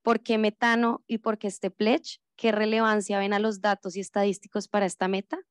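Woman, panel moderator, smooth clear voice, dry close sound. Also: mid-range voice, completely flat delivery, moderate pace, no echo. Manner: friendly, organized, with fillers.

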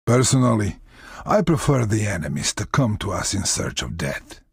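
An actor playing a tough, wry middle-aged Russian soldier with a gravelly voice and Russian accent, in a measured, blunt video game delivery. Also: male voice, on the low side, very animated, dry recording, clean studio sound, heavily acted. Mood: calm, wry. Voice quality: gravelly, husky. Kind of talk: video game character voice lines.

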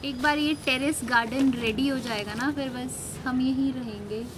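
A young woman chats to her viewers in a fairly high, easy voice, explaining as she goes. She is recorded on a handheld camera out on an open rooftop terrace, with clear outdoor noise all around her. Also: fairly even intonation, moderate pace. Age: young adult. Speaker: vlog host. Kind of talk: casual chat to camera. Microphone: handheld camera at arm's length. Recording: noisy outdoor ambience.

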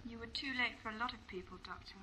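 An actress playing a troubled, indignant companion in a science-fiction audio drama. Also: female voice, a little high, fast, pushed and bright, rough recording. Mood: troubled, accusing. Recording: clear background noise.

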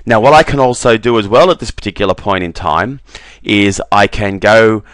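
A man talking through a software demo over a screen recording, relaxed, with frequent "uh" fillers and doubled words. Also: lowish voice, normal speed, no echo. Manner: relaxed, repeats "very, very" and fills with "uh". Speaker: software demonstrator.

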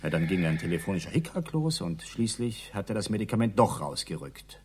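An actor playing an older doctor in a radio crime drama, speaking frankly and conversationally in a husky voice. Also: male voice, low-pitched, big pitch swings, a little fast, a little hiss or hum. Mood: resentful. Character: doctor, frank, dryly resentful male card-player.

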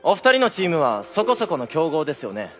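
Sceptical teenage boy, widely swinging pitch. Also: wildly swooping pitch, brisk. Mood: sceptical questioning. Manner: questioning, polite yet blunt. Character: cool, sceptical teenage male volleyball player with glasses. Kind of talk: theatrical stage acting. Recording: stage performance with music behind.

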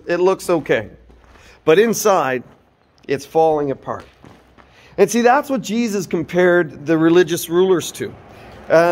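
A man in his forties giving an earnest, informal sermon, filmed on a phone held at arm's length inside an empty old church. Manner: earnest, preaching, rises and falls in pitch. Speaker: preacher.

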